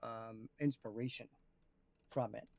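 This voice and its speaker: A man past his twenties co-hosting a casual discussion, explaining his points in a measured, conversational way. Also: mid-range voice, steady tone, creaky, a little mellow. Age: 30s or older. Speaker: podcast co-host.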